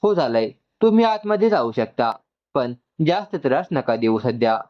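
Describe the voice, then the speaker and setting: Man voicing a polite female nurse, lively. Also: medium pitch, moderate pace, lo-fi sound, close to an everyday voice. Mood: polite, firm. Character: polite female hospital nurse, starstruck fan.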